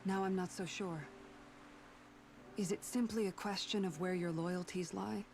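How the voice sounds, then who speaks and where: A woman's voice: fairly low pitch, steady tone, unhurried, darkish tone. A woman voicing an earnest young woman warrior in a video game, thinking aloud in a questioning, reflective way. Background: game music.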